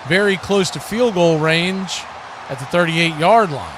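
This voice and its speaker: Male play-by-play streamer, slightly high voice, excited on the action and chatty between snaps, clear background noise. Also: unhurried. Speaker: play-by-play host of a football watch party. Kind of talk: live play-by-play commentary with casual asides.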